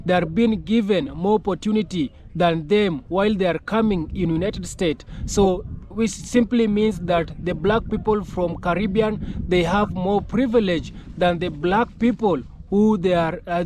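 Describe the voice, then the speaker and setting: Casting: male online commentary host, high voice, halting delivery, circles back over phrases. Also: a little mellow, a little hiss or hum. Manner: halting, repetitive phrasing with frequent filled pauses. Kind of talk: YouTube commentary to camera.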